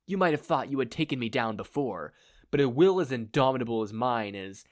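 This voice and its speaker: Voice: medium pitch, lively intonation, a little fast, no room sound, studio quality, close to an everyday voice. A performer voicing a pompous, menacing male supervillain with grandiose, droning speech in an audio story. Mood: smug menace.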